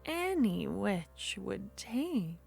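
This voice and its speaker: Lady putting on a curious flirty witch, whispers super slow, soft music behind. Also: mid-range voice, big pitch swings, exaggerated voice. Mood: coaxing. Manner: slow, breathy whispering, coaxing. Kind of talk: ASMR roleplay.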